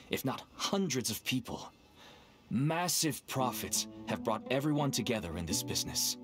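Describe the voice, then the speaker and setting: A man's voice: medium pitch, close to an everyday voice. A man voicing a nervous, wheedling middle-aged father and dealer in a game, with a persuasive manner. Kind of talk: game voice acting. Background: game music.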